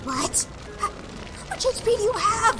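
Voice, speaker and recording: A woman's voice: extremely high pitch, very animated, measured pace, exaggerated voice, low-quality recording. A woman voicing a spiteful, haughty demon child in a drama, contemptuous and indignant. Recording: loud music behind the voice.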